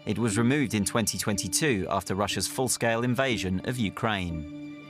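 A man with a lowish, steady voice reads a news documentary script in a measured, even way. A clear music bed plays beneath his words throughout. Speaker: news narrator.

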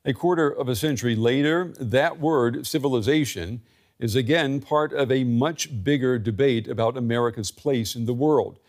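A male news anchor with a mid-pitched voice. He reads his links in a measured, scripted way. He questions guests with the odd um. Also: darkish tone. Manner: measured, scripted delivery with occasional ums in interviews. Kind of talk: broadcast news presenting.

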